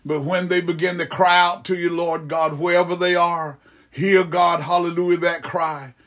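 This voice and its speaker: A man's voice: high-pitched, little pitch movement, normal speed, fairly bright tone. A man preaching in fervent, improvised Pentecostal prayer, pleading repetitively and calling out to God mid-phrase.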